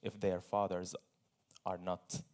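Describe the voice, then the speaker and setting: A man's voice: deep voice, flat delivery, slow, darkish tone. A man from Syria speaking on stage as a guest at a forum, telling his personal story earnestly, with small laughs and repeated phrases.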